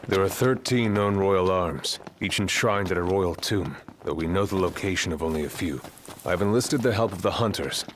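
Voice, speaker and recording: A man playing a stern, composed royal guard and mentor in a video game, speaking in a measured, formal, authoritative way. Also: deep voice, slight background noise, close to an everyday voice. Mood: grave, steady. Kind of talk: video game cutscene acting.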